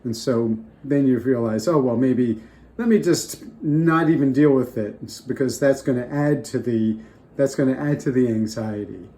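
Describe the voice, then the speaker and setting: Older man with a mellow voice, rambling. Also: mid-range voice, moderate pace. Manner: rambling, restarts often, off the cuff. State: getting over a cold. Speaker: vlogger. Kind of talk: casual advice talk to camera.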